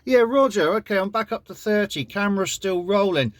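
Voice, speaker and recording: English man, dry higher-pitched voice. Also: lively intonation, fairly quick, no echo. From United Kingdom. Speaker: amateur radio operator. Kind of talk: casual ham radio contact.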